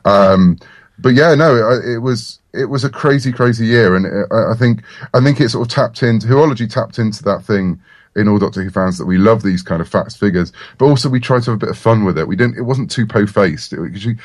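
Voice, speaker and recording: A man with a deep voice talks casually as the guest in an interview. He is chatty and wryly self-deprecating. The sound is dry, with little room around it. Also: fairly quick, dry recording. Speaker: interview guest, comics and audio writer.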